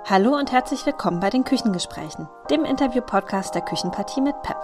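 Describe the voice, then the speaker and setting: A woman hosting a cooking interview podcast, reading a scripted intro and then asking slow questions with fillers. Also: fairly high pitch, expressive, music in the background. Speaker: podcast host.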